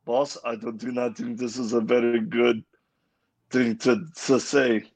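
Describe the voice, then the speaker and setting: A man's voice: medium pitch, level tone, unhurried, creaky, no echo. A man doing a hesitant, deferential male interpreter in a mock Indian accent. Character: male Iraqi interpreter, hesitant, overly polite.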